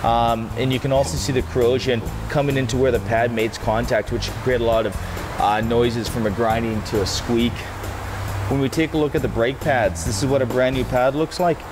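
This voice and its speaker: A man in his thirties, a tire shop technician, walking through car checks in a garage with quick, practical explanations and filler words. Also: mid-range voice, steady tone, music in the background. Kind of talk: hands-on demonstration. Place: car service garage, indoors.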